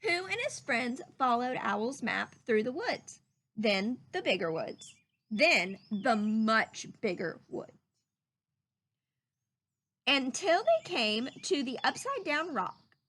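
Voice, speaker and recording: A woman reading a children's story aloud in an animated, emphatic, teacherly way. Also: on the high side, slow. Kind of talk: expressive read-aloud narration with teacherly questions to listeners.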